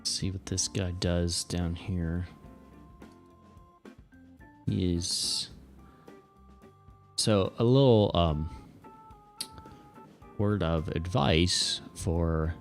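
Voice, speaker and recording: A man's voice: low voice, very slow, pauses often, faint music behind. A man hosting a livestream as an air traffic controller, chatting and explaining in a laid-back, informal way, with some radio phraseology.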